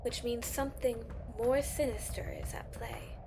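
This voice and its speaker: A woman's voice: on the high side, fairly even intonation, moderate pace, in a whisper, natural voice. A woman playing a haughty, solemn judge of mythic beings in a fantasy audio drama, speaking formally. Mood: grave. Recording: loud music under the voice.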